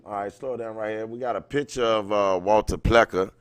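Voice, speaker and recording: A man's voice: lowish voice, steady tone, brisk, clean tone. A man hosting a casual livestream, rambling along and often repeating his phrases. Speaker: livestream host. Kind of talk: casual livestream chat, greeting viewers. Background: music playing.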